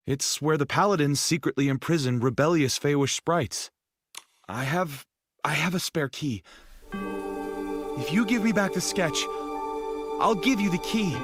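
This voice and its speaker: A man's voice: a little high, slightly rough, no room sound, clean studio sound. A man voicing a timid, anxious sprite in a video game, pleading and cowardly. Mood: nervous, pleading. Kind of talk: video game cutscene acting. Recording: loud music behind.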